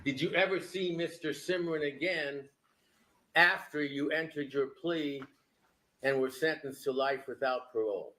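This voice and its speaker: A man's voice, a little higher than usual, questions a witness in court. He presses with pointed, leading questions. The sound rings through an echoey courtroom feed. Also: unhurried, pushed and bright. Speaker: defense attorney. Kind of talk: courtroom cross-examination.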